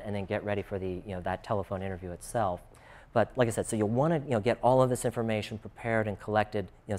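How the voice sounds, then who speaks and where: A man's voice: fairly low pitch, a little mellow. An American man speaking as a job coach in an informal, conversational and explanatory way, often dropping in "you know". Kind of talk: informal instructional talk.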